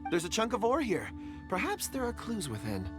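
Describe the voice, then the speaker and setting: Shy polite miner guy, stammers a bit, lively tone, game music behind. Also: sits high, moderate pace. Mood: gentle. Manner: polite, hesitant, stammers a little. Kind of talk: video game voice acting.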